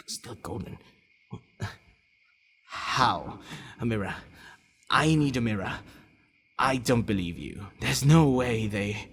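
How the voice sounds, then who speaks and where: Man acting a deep, slow-talking vampire lord. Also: heavily acted. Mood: startled, disbelieving. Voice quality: low, gravelly. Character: possessive, aloof yet softening male vampire lord. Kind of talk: ASMR roleplay audio drama. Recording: long reverb, faint background noise.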